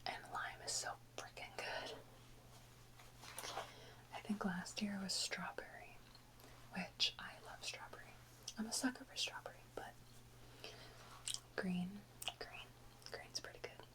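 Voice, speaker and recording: A woman's voice: mid-range voice, fairly even intonation, measured pace, pauses often, rough recording. Whispering woman, casual ASMR host. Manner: casual, chatty, playful. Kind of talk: whispered ASMR chat to viewers.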